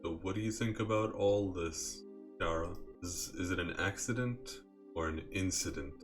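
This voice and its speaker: Man acting polite teenage boy, lowish voice, calm, even-tempered, video game dialogue, light music behind. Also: normal speed, close to an everyday voice. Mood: concerned.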